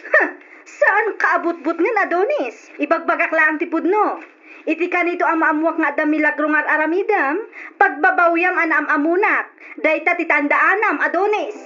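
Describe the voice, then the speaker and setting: A woman's voice: very high voice, normal speed, bright, forceful tone. An actress playing a scorned, reproachful mistress confronting her cheating lover in an Ilocano radio drama, accusing and insistent. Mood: suspicious, stern. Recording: dull old radio drama recording, noisy.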